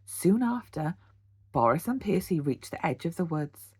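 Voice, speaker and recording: A British woman, a teacher, reading a children's storybook aloud in an animated bedtime-story style. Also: fairly low pitch, measured pace, husky, dry recording. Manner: animated, with gasps and sound words.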